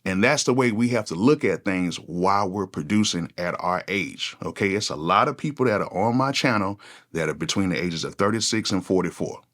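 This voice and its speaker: Deep-voiced casual man in his forties. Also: lively intonation, fairly quick, fairly bright tone. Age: 40s. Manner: casual, conversational, repeats "go ahead" often. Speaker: music producer, channel host. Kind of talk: unscripted one-take talk to camera.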